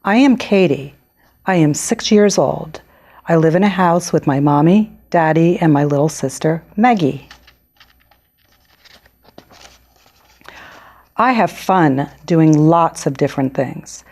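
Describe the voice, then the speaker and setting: A woman reading aloud as an excitable six-year-old girl from a picture book, happy but quick to anger. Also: low voice. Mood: cheerful.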